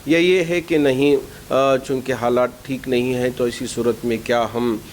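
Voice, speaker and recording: A man giving a formal, measured public statement as a cleric, pausing to find his words. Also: medium pitch, fairly even intonation, a little hiss or hum. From India. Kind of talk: explanatory public statement to camera.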